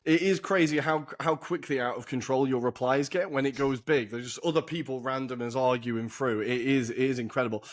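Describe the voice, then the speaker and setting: Chatty young male podcast host. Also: mid-range voice. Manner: chatty, enthusiastic, quick asides. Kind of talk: casual football podcast chat. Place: humid room in a city flat.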